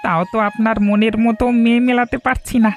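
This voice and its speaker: A woman voicing a harried, persuasive village matchmaker in an animated folk tale, effusive and flattering. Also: a little high, fast, natural voice. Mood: exasperated. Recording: faint music behind the voice.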